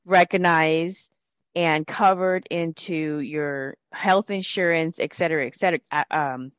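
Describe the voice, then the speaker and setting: A woman hosting a casual interview, an energy healing practitioner who speaks in a measured, reflective way. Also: sits low, smooth, no echo.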